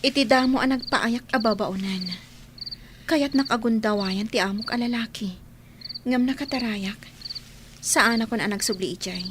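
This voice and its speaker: A woman's voice: a little high, moderate pace, pauses often, background noise, natural voice. A woman playing a meek, polite young orphaned housemaid in an audio drama. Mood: meek, sorrowful. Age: young adult. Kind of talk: audio drama acting in dialogue.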